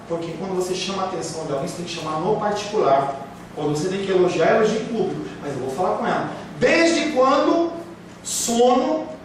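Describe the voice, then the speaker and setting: A man preaching a sermon as pastor, emphatic, with rhetorical questions and acted-out excuses. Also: high-pitched. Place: church hall. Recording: very echoey room, speaker far from mic, faint hiss.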